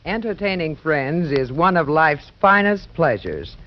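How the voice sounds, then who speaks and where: A woman with a genteel, measured manner giving a 1940s radio testimonial as a hostess. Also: low voice, sing-song. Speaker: celebrity hostess giving sponsor advice. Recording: dull 1940s radio recording.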